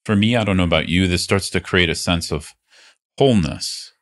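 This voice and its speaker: Male meditation teacher, very deep voice, gently instructive, reassuring, leaves space between phrases. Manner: unhurried, reassuring, phrases broken by pauses.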